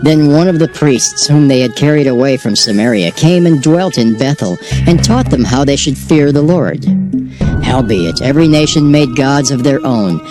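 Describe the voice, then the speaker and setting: A man narrating old-fashioned scripture in a measured, solemn reading cadence. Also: mid-range voice, animated, music in the background.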